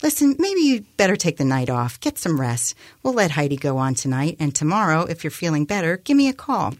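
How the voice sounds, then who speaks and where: A woman's voice: low voice, sing-song, a little fast, no echo, studio quality, pitched above the performer's own voice. A woman reading a novel aloud as an agitated, blunt male news office manager, emphatic and exasperated. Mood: fussing. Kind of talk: audiobook-style novel reading.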